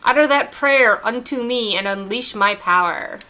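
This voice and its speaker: A woman reading the lines of an ominous demon serpent from a video game, slow and drawn out. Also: fairly high pitch, pushed and bright. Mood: menacing. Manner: slow, drawn-out menacing delivery. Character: ominous demon serpent urging a dark prayer.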